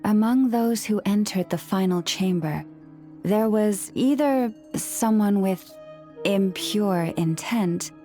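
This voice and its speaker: A gentle, dignified young female leader from a video game, acted by a woman. Her voice is soft-toned and mellow, and she speaks very slowly, with formal, earnest phrasing. Music plays faintly behind her. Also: medium pitch, natural voice. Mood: solemn, reassuring. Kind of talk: video game character acting.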